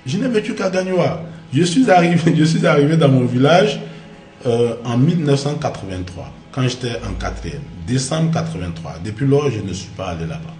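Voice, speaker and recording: Male interview guest, forties, Ivorian accent. Swinging, animated intonation with hesitant restarts; echoey room sound. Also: medium pitch, normal speed. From Ivory Coast. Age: 40s. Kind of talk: studio interview conversation. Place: small indoor TV studio. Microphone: shared desk microphone. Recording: roomy, echoey studio sound.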